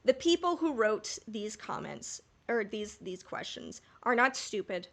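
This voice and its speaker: A woman's voice: mid-range voice, sing-song, unhurried, vocal fry, a bit bright. A woman co-hosting a podcast in casual fan discussion, speaking candidly and emphatically, with the odd casual swear. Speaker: podcast host.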